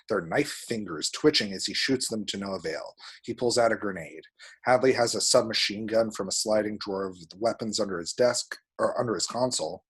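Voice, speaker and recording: A man with a husky voice reads screenplay action descriptions aloud as a narrator. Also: fairly low pitch. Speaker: table-read action narrator.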